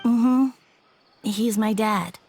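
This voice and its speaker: A woman's voice: on the high side, drawn-out words, over music. A woman voicing a shy, polite, guarded little boy in a video game, speaking hesitantly. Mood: subdued. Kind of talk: video game character voice acting.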